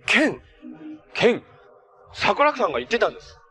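A man's voice: high voice, very animated, moderate pace, pushed and bright, heavily acted. A young man acting an upbeat, kind-hearted adventurer and hero in a live-action TV superhero drama, speaking in an earnest, friendly, boyish way. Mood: serious, focused. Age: young adult.

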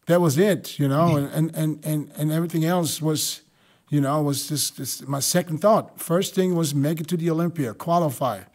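A man's voice: on the high side, normal speed, a little mellow. Insistent middle-aged man on a video call. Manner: insistent, repeats himself while explaining. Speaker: podcast host. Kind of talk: casual group chat on a video call.

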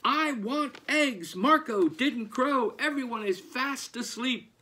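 A man voicing a grumpy, exasperated farmer from a storybook, loud, emphatic and complaining. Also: very high voice, lively intonation, takes it slowly, slightly rough, pitched above the performer's own voice, heavily acted.

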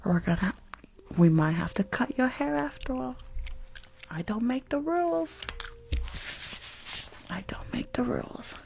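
A woman's voice: fairly high pitch, big pitch swings, measured pace, gravelly, long pauses, darkish tone, a little hiss or hum. A young woman with a New York accent does an ASMR roleplay as a rude school nurse, speaking close to the microphone in a curt, sassy, dismissive way. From Bronx, New York. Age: young adult. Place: small room at home. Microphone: close desk microphone with fluffy cover.

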